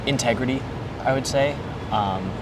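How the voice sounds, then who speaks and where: A man in his twenties from the United States, answering interview questions outdoors in a hesitant, thoughtful way with many pauses and ums. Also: mid-range voice. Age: 20s. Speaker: interview guest. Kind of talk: casual street interview. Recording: distant mic, loud traffic noise.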